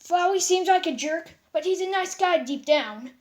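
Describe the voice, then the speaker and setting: A woman's voice: very high-pitched, lively intonation, moderate pace, pitched above the performer's own voice. A woman voicing a kind, protective young girl who speaks earnestly in a fan story.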